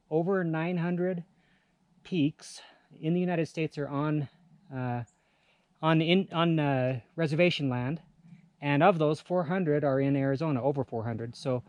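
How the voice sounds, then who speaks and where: A man talking casually to camera outdoors in a halting way, searching for his words. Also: on the high side. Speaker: amateur radio hiker hosting a summit video. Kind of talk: casual vlog talk to camera. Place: outdoors on a rocky mountain summit.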